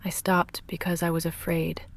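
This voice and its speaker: A woman playing a shy, lovestruck vampire in an intimate ASMR roleplay, speaking softly and breathily with halting, confessional hesitation, often in a whisper. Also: lowish voice, monotone. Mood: afraid, confessing. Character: shy lovestruck female vampire roommate, confessing and self-restrained.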